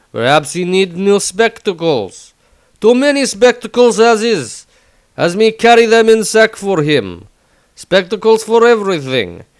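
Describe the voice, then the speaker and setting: A man voicing a gruff, blustering warrior who speaks in clipped, broken foreign grammar for a fantasy story. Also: high-pitched, pitched above the performer's own voice. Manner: clipped broken grammar, loud indignant declaiming. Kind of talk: fantasy audio story diary.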